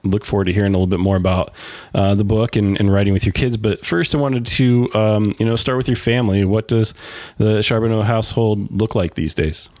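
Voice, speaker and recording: Male podcast host, low voice, close dry recording, conversational and somewhat halting. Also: steady tone, a little mellow, no room sound. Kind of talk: casual podcast interview.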